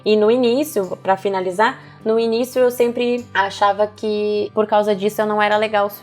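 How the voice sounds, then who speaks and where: A woman's voice: mid-range voice, flat delivery, moderate pace, soft background music. A Brazilian woman with a Brazilian accent chatting casually as the co-host of a podcast. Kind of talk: casual conversation.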